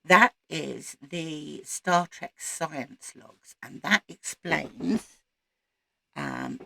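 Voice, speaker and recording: A woman with a croaky voice, recovering from voice loss, chatting casually to camera as the host of a book channel. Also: sits low, sharp tone, dry recording. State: croaky, recovering from losing her voice. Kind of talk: casual chat to camera about reading plans.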